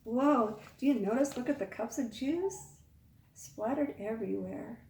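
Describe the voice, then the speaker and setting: A woman reading a picture book aloud to young children, expressive, pausing to ask the listeners questions. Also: a little high, slight background noise. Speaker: children's storytime reader. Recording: echoey room, far from mic.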